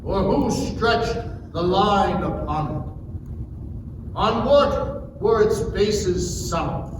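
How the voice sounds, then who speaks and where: A man playing a majestic, stern God in a dramatic scripture reading, declaiming deliberate challenges. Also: sits high, lively intonation, recorded from a distance, exaggerated voice. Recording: echoey hall from afar, noisy.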